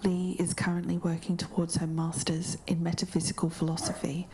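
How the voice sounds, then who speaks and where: A woman with an Australian accent whispering podcast narration in a quiet library. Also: lowish voice, completely flat delivery, a little fast, slight background noise. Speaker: podcast co-host.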